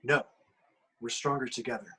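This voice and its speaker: A man playing an earnest, indignant superhero leader in a film-script table read, insistent and challenging, with a husky voice. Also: mid-range voice, flat delivery, normal speed, sharp tone. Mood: firm, reassuring.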